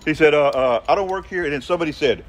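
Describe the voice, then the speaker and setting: A man's voice: on the high side, racing. An older man arguing as a customer, indignant and emphatic, repeating himself as he goes. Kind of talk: heated argumentative chat. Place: aisle of a large warehouse store. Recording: noisy store ambience.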